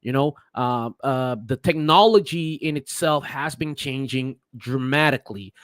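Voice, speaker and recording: A man whose first languages are Papiamento and Spanish, explaining crypto trades in a halting way with many restarts as a vlogger. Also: medium pitch, no room sound, clean studio sound. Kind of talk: casual screen-share talk.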